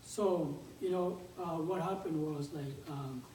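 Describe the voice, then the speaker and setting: Man in his twenties, South Sudanese accent, dark warm tone, halting informal presentation, roomy distant recording. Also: on the high side. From South Sudanese, grew up in a refugee camp in Uganda. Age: 20s. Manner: halting, conversational, with frequent repeated words. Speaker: game developer and startup founder, guest speaker. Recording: echoey room, distant mic, background noise.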